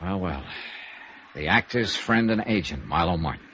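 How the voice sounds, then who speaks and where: An American actor playing a cool, wry, hard-boiled investigator in 1950s radio noir, sardonic and clipped. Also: male voice, deep voice, lively intonation, normal speed, a bit bright, a little hiss or hum. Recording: muffled vintage radio recording.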